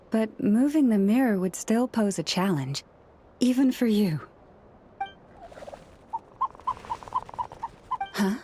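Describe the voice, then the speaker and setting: Composed, gently wry young game heroine. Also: female voice, fairly high pitch, background noise. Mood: reasoning. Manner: measured, articulate, gently wry. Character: gentle, composed young woman who understands animal speech. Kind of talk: video game voice acting.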